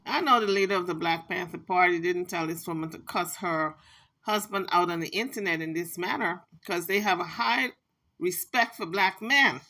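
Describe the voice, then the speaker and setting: Bright-voiced scolding woman commentator. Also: fairly low pitch, normal speed. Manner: emphatic, scolding, reads messages aloud. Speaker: commentary channel host. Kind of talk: casual gossip commentary.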